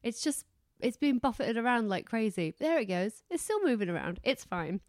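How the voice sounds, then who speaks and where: A woman's voice: fairly high pitch, sing-song, moderate pace, dry recording. Woman, podcast host, losing her voice, rambling and self-deprecating, dry close sound. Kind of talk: casual podcast chat. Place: home.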